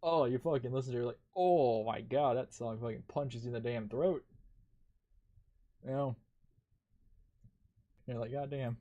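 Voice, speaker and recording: Dude streamer rambling while he games, swears a ton, laughs a lot, mid-pitched voice. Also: measured pace, long pauses, narrow, tinny audio. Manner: rambling, profane, laughs often. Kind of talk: casual chat while gaming.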